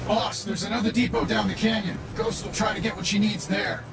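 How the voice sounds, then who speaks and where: Folksy AI sidekick, higher voice, quips, a bit off-mic over blaring game music. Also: male voice, fairly even intonation, brisk, gravelly, recorded from a distance. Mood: wry. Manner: folksy, wisecracking drawl. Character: folksy male AI suit assistant. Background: loud game score.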